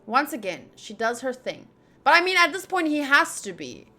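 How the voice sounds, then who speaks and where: Bright, higher-pitched young woman streaming a game. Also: big pitch swings, moderate pace. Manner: chatty, joking asides. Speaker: streamer doing a game playthrough. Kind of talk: casual playthrough commentary, reading game text aloud. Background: game music.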